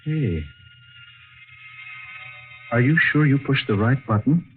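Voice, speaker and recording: A man's voice: medium pitch, lively intonation, slow pace, faint music behind. An American actor playing a skeptical but caring male companion in a 1940s radio drama. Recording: muffled, lo-fi vintage radio broadcast recording.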